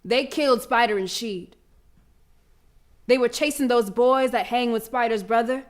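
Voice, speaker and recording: Woman doing a young school girl, proud n fierce, highish voice, slangy dramatic monologue for audio drama. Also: normal speed, close to an everyday voice. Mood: subdued, grieving. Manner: colloquial, emphatic storytelling with dramatic repetition. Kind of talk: dramatic first-person monologue, audio play.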